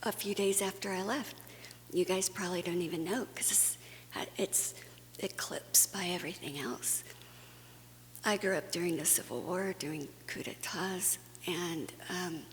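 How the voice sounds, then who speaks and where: Slow-talking woman from Guatemala in a hall. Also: on the low side. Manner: earnest, conversational, with frequent fillers and restarts. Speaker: conference speaker. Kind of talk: plenary talk to a faith audience. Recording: long hall reverb, faint hum.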